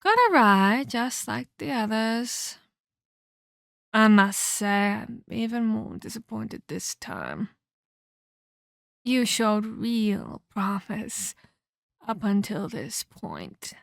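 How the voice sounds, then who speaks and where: Woman playing a slow-talking, sinister vampire captor. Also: fairly high pitch, big pitch swings, gravelly, no echo, studio quality, full character voice. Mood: cold disappointment. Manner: slow, deliberate, theatrical, taunting. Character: sinister, condescending female vampire captor, coldly scolding. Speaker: ASMR roleplay voice actor. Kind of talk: dramatic ASMR audio roleplay.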